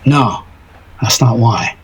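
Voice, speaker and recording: An actor playing a lovesick, self-deprecating older married man in an audio drama, rambling wistfully and haltingly in a husky voice. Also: medium pitch, rough recording. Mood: gentle.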